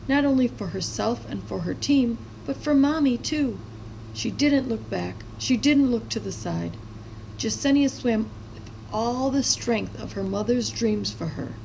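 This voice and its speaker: A woman with a creaky voice reading a children's story aloud expressively, as a storyteller. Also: a little high, darkish tone, slight background noise, rough recording. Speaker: storybook reader.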